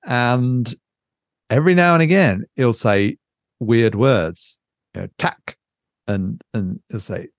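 A man's voice: mid-range voice, slow, pauses often, no room sound, clean studio sound. A man chatting casually as a podcast host, thinking aloud hesitantly and drifting into asides. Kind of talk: casual unscripted book chat.

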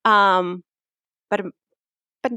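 A woman's voice: medium pitch, steady tone, very slow, pushed and bright, clean tone, no echo. A young woman from Mexico with a Mexican accent, chatting openly as a podcast guest, laughing often and restarting her sentences. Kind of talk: casual interview chat.

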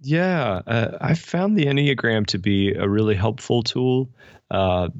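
A man's voice: medium pitch, animated, measured pace, darkish tone, no echo, lo-fi sound. A man in his forties talking casually and reflectively as an interview guest, self-deprecating and often restarting his sentences. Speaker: podcast guest, pastor and author.

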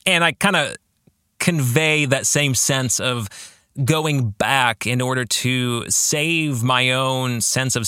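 Slow, animated man, bright voice. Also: medium pitch, no room sound, clean studio sound. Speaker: podcast host and author. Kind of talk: reflective solo podcast commentary.

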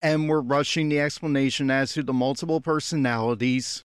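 A man with a middling pitch delivers critical commentary in the style of a reaction podcast. His manner is blunt and exasperated. The recording is dry and close, with little room sound. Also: fairly even intonation, brisk, clean tone, no room sound. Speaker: podcast host giving audio commentary.

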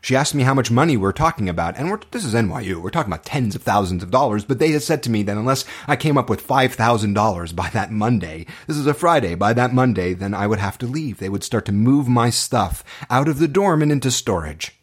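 A man's voice: mid-range voice, animated, fast talker, few pauses, slightly rough. A man speaking as an acting teacher, telling personal stories to motivate students in an earnest, impassioned way with dramatic, emphatic phrasing.

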